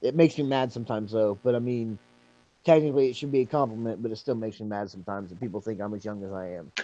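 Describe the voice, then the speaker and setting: A man's voice: fairly low pitch, steady tone, quick pace, warm tone, no room sound, lo-fi sound. A man in his thirties chatting casually, rambling with lots of fillers and repeating himself. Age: 30s. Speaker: support group member. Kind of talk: casual chat.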